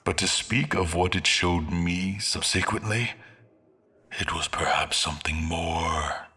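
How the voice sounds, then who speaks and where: A man playing a brooding, grandiose schemer in a fantasy audio drama, with solemn, ornate, deliberate delivery and a husky, breathy voice. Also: sits low, bright, forceful tone, full character voice. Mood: solemn, prophetic.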